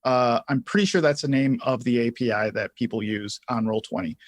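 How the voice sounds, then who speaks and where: A man's voice: mid-range voice, fairly quick, no room sound. A man co-hosting a tutorial livestream, explaining features and answering questions in a casual, conversational way.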